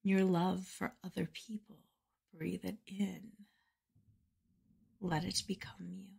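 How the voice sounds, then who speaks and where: Woman doing guided meditation, really slow n soothing, soft husky voice w some vocal fry. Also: on the low side, level tone. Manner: soothing, measured, breathy phrasing with pauses. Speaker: meditation guide and podcast host.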